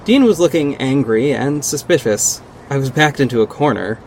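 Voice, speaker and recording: A man's voice: mid-range voice, lively intonation, normal speed, darkish tone, slight background noise, natural voice, rough recording. A man playing a confident vigilante detective who narrates his own story in an earnest, dramatic audio drama style. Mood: tense, dramatic. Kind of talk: first-person audio drama narration with acted dialogue.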